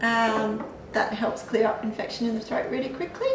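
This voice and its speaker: Woman, slightly high voice, chatty and explanatory, recorded in an echoey room from a distance. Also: steady tone, normal speed, slight background noise. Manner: chatty, explanatory, with frequent fillers and light laughs. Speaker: clinic staff giving supplement advice. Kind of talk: casual health chat.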